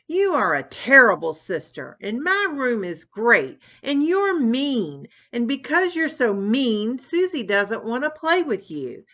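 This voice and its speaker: A woman's voice: on the high side, sing-song, slow pace, muffled recording, voice pushed up, exaggerated voice. A woman doing an angry little boy turtle. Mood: shrieking angry. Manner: whiny, shouting. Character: hot-tempered young boy turtle.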